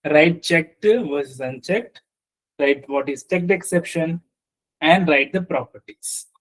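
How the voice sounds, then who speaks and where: A man teaching an online software class over a video call, often checking in with his students, with a slight cough. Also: on the high side, slow pace, low-quality recording. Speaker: software trainer.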